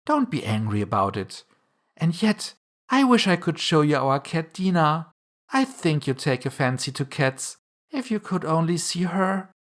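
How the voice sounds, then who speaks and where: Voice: mid-range voice, lively intonation, unhurried, pitched above the performer's own voice, lightly acted. A narrator voicing a polite, eager little storybook girl who talks in an earnest, chatty, apologetic way. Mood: soothing, apologetic.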